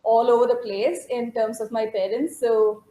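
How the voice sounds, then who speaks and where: A woman speaking as a panel guest, unhurried and thinking aloud. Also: on the high side, fairly even intonation, mellow. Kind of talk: casual panel talk. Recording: roomy echo.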